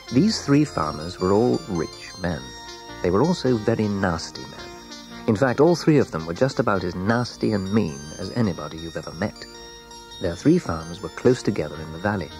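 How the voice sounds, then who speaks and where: A man narrating a scripted children's story in a measured, dramatic way, letting phrase endings fall hushed. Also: fairly low pitch.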